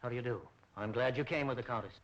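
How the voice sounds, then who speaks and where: Actor as a fast-talking polished businessman. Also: mid-range voice, lightly acted. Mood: polite. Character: defensive, brisk businessman, curt yet socially polished. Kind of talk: 1930s film acting. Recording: muffled 1930s film soundtrack.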